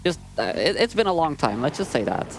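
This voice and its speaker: A man's voice: fairly high pitch, big pitch swings, fast talker. A man giving casual, teasing couch commentary with dry humour during a video game speedrun. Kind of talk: casual banter. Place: live speedrunning marathon event. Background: game music.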